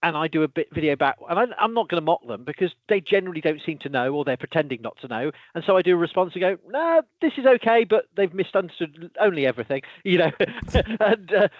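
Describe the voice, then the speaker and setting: Animated man, thin dry sound. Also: high voice, fast talker, hardly any pauses, no echo, lo-fi sound. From lives in Wales. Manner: animated, self-interrupting, exasperated asides. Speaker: podcast host, archaeology professor. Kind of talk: casual conversation.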